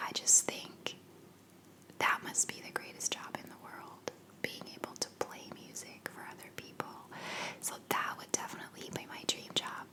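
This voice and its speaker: Softly whispering woman, American accent. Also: rough recording. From Belgium. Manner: soft unvoiced whisper, rambling with fillers. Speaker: ASMR creator. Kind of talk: whispered ASMR Q&A. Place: home living room. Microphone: sensitive ASMR microphone.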